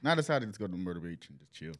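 A man's voice: deep voice, big pitch swings, racing. A man from North Carolina co-hosting a podcast, chatting casually and telling stories. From North Carolina, United States. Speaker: podcast co-host. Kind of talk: casual chat and storytelling.

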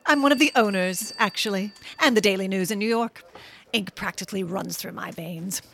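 A woman's voice: medium pitch, moderate pace, creaky, a bit bright, slight background noise. An actress playing a wealthy, worldly American businesswoman in a radio drama, assured and dryly gracious.

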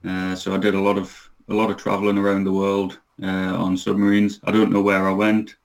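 A man in his thirties talks as a podcast guest, hesitating with frequent ums and uhs. His voice sits low, and his pitch stays fairly flat as he speaks. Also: fairly quick. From Newcastle, England. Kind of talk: casual interview.

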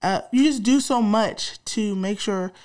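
A woman's voice: mid-range voice, unhurried, a little mellow. Congested young woman podcast host, slangy, talks right to listeners. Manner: chatty, slangy, addresses listeners directly. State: congested, slightly nasal from a cold. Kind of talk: casual podcast chat.

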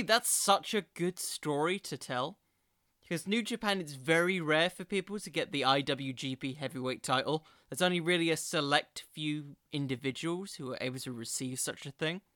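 A man hosting a solo podcast, giving conversational commentary in a musing, halting way with emphatic bursts. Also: a little high, sharp tone, no echo, clean studio sound. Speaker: podcast host.

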